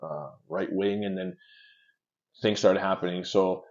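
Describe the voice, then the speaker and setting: A man from Canada, a guest in a casual interview, telling stories in an animated way and restarting his words often. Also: deep voice, measured pace. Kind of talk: casual chat, storytelling. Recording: dull lo-fi sound.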